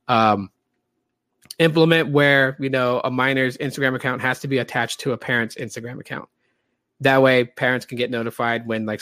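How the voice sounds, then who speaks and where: A man's voice: medium pitch, fairly bright tone, no echo. Casting: late-30s man with a light voice, laid-back podcast host, chatty and rambling, dry close sound. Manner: rambling, chatty, many fillers. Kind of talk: casual livestream podcast talk.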